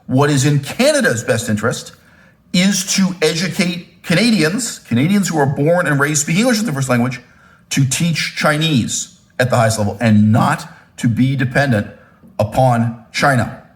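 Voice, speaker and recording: Emphatic lecturing man with sweeping pitch jumps. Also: expressive, normal speed. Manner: addresses viewers directly with "right?" and "okay?". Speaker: channel host. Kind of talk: spoken political commentary monologue.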